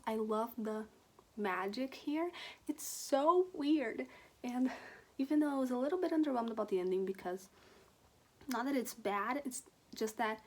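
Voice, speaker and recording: A young woman from Brazil, a native Portuguese speaker with a Brazilian accent, giving a candid, animated book review chat with casual swearing. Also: a little high. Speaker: book vlogger.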